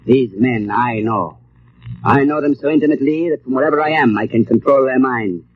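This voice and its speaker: An actor playing an arrogant, villainous mind-controlling mastermind in an old radio drama, with a suave, menacing, imperious manner. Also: male voice, medium pitch, a little fast, lightly acted. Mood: grandiose, menacing. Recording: tinny, noisy old radio recording.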